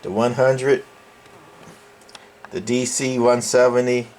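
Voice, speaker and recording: A man's voice: medium pitch, little pitch movement, slow pace, a little mellow. Man giving informal demo over noisy background. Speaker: channel host. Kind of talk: informal hands-on technical demo. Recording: clear background noise, room ambience.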